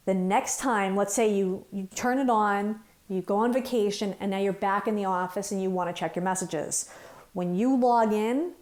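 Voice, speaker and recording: A woman's voice: mid-range voice, a little mellow. A woman working as a trainer, talking through instructions step by step in an informal, conversational way. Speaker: phone system trainer.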